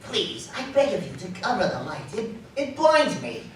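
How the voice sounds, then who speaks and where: A woman's voice: fairly high pitch, sing-song, normal speed. A woman playing a fearful, humbled old man in a live radio drama, theatrical and pleading, with archaic phrasing. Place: live community theatre stage. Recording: distant mic, very echoey live hall, slight noise.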